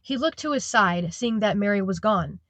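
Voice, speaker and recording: A woman's voice: medium pitch, animated, moderate pace, a bit bright, no room sound. A woman narrating a scripted audio drama as its storyteller.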